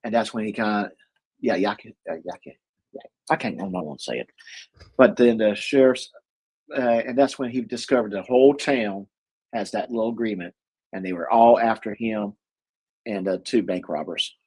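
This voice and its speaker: Husky, rambling man. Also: lowish voice, moderate pace, darkish tone, rough recording. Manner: rambling, many fillers and restarts, chuckles often. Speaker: co-host. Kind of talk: casual chat reviewing movies.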